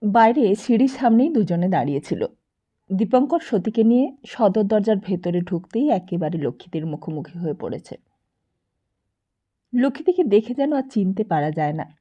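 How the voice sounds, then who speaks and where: A woman reading literary fiction as an audiobook narrator, in an expressive scripted storytelling style. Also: medium pitch, moderate pace, lo-fi sound.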